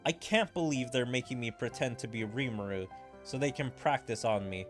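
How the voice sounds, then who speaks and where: A man's voice: medium pitch, music in the background. A young man streaming a game, chatting in an amused, casual way about what happens on screen. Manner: chatty, amused, quick laughs. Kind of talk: casual gameplay commentary.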